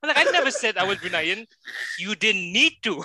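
A man's voice: high-pitched, lively intonation, brisk, bright, forceful tone, dry recording. A man in casual chat who tells stories while laughing often. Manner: giggly storyteller, laughs often.

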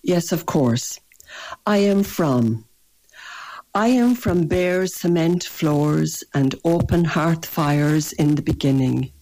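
An older Irish woman, a poet, reading her poems in a measured, gentle way and answering interview questions. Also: low voice, animated, poor audio. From rural northwest Ireland. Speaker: poet and guest. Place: radio studio or phone line.

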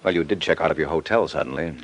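An actor playing a suave, wisecracking private detective in an old radio drama, dry and nonchalant. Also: male voice, rumbling low, rapid-fire, slightly rough, muffled recording. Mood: teasing, dry.

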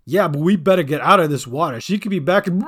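A man's voice: a little high, slow, vocal fry. A man voicing a brash, foul-mouthed male goblin in a storybook, with a punchy, slangy, defiant delivery. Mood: rattled, urgent. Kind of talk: storybook character voice.

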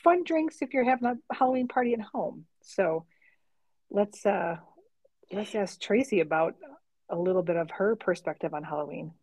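A woman's voice: mid-range voice, lively intonation, moderate pace, darkish tone, no room sound. A woman co-hosting a podcast in casual chat, chatty and laughing often. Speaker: podcast co-host.

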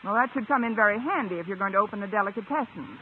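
A sardonic woman teacher played by an actress delivers dry wisecracks at a medium pitch. The sound is 1950s radio comedy, thin and tinny under loud noise. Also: racing, close to an everyday voice. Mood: friendly, wry. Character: wry, wisecracking adult female high-school English teacher. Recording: very noisy, tinny old radio recording.

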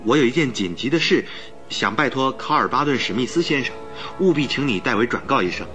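An actor playing an earnest, courteous doctor in a radio drama, speaking politely and with concern. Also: male voice, mid-range voice, expressive, quick pace, fairly bright tone, dull audio, soft background music, close to an everyday voice. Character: earnest, courteous male doctor, detective's worried friend. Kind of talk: Mandarin radio drama acting.

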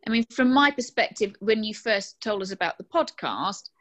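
A woman's voice: medium pitch, animated, normal speed, fairly bright tone. Thoughtful woman in her forties from London. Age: 40s. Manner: thoughtful, hesitant, many "um"s. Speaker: panel guest. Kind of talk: casual conversation.

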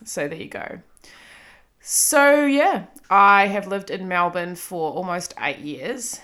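A woman in her thirties with a clear New Zealand accent talks through a solo podcast episode. Her voice sits a little low but has a bright, forward edge. She speaks casually and pokes fun at herself, with plenty of ums. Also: animated, slow pace. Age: 30s. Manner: chatty, self-deprecating, frequent ums and laughs. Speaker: podcast host.

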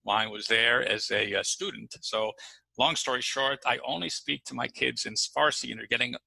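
Man with a gravelly, bright voice. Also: medium pitch, normal speed, a little hiss or hum, low-quality recording. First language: English. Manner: relaxed, many fillers and restarts. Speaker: podcast guest. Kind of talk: casual interview answers.

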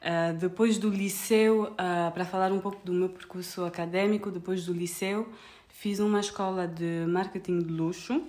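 Low-voiced young woman. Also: steady tone, normal speed, darkish tone. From France and Angola. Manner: hesitant, many fillers and restarts, laughs easily. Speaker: guest pastry chef. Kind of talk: casual podcast interview.

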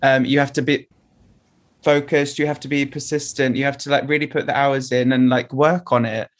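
A man's voice: mid-range voice, level tone, moderate pace. A man speaking earnestly as an interview guest, open and encouraging. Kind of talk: candid conversational interview.

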